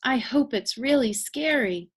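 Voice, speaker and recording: A woman voicing an excitable little boy from a children's storybook, eager and exclaiming. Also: high voice, unhurried, slightly rough, heavily acted. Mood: excited.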